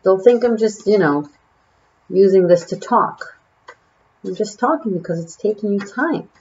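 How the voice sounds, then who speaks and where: A woman's voice: medium pitch, measured pace, darkish tone, muffled recording. Israeli woman, chatty tarot reader. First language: Hebrew. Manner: chatty and musing, trailing off with fillers. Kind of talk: casual tarot reading to camera.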